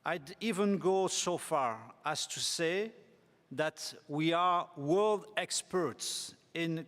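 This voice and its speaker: A man delivers a formal speech, measured and deliberate, pausing on fillers. His voice sits a little high for a man. It carries into a large conference hall with a long, lingering reverb. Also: a bit bright. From Belgium. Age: 40s. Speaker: parliamentarian candidate for rapporteur.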